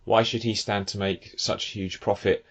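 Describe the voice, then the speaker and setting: A hoarse man hosting a football podcast, talking in an earnest, measured way with frequent fillers. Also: fairly low pitch, little pitch movement, fairly bright tone. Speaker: podcast host. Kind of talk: casual football podcast chat.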